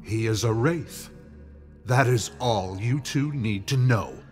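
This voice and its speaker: A man's voice: medium pitch, slow pace, music in the background, lightly acted. A man playing a haughty, scheming villain lord in a fantasy audio drama, with a condescending, theatrical delivery. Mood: angry, commanding. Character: haughty, scheming male villain lord leading a dark council.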